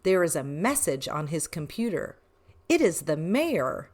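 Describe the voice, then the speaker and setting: A woman reading a children's picture book aloud in an expressive, deliberate way with emphasis on short phrases. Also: deep voice. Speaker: read-aloud storyteller for children.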